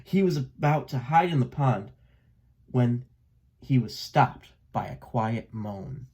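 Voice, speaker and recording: Casting: man for children's read-aloud narration, medium pitch, slow deliberate pacing, expressive delivery. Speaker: storybook reader.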